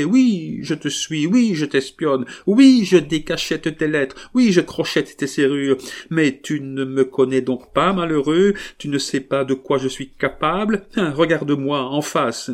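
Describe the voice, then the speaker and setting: A man's voice: a little high, voice pushed up, natural voice. A man reading aloud and voicing a proud, jealous young Creole wife who accuses her husband in an imperious way. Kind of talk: audiobook reading.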